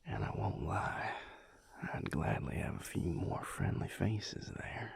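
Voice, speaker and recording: A man playing a surly but affectionate vampire cowboy lover in an intimate audio roleplay, with a Southern US accent and a slow, wry, breathy, gravelly murmur. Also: low voice, sing-song, heavily acted. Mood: relaxed, sardonic. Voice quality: breathy, gravelly, half-whispered.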